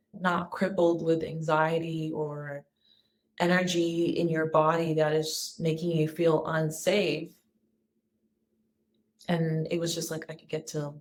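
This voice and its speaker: A woman with a deep, low-pitched speaking voice talks straight to her viewers in a personal vlog. She sounds soft, calm and sincere, with the odd restart as she finds her words. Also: slight background noise. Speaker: wellness vlogger. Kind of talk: casual personal vlog talk.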